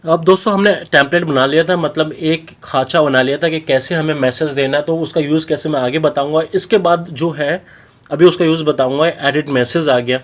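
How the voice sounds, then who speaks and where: A man's voice: a little high, fairly even intonation, a little fast. A man with an Indian accent giving an informal technical tutorial, explaining step by step and often checking in with short tags. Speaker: technical instructor.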